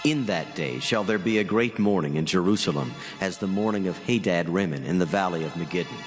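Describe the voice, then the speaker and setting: Man as a deep, reverberating majestic God. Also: brisk, lightly acted. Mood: grave, mournful. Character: majestic, solemn male God of scripture, mighty and grave. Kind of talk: dramatized scripture reading. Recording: long cavernous reverb. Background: music.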